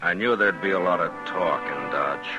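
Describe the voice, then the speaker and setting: An actor playing a calm, weary, stern frontier lawman in a 1950s radio western, terse and authoritative. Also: low-pitched, moderate pace, lo-fi sound, lightly acted. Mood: grave. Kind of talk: 1950s radio western acting and first-person narration. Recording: old radio drama recording with music.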